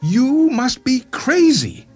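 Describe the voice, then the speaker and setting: A young father, put on by a man reading a story, indignant and incredulous. The voice jumps up in pitch and brushes the other person off. It is storybook drama with music underneath. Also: big pitch swings, slow pace, darkish tone, exaggerated voice. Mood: shocked. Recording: music bed behind the voice.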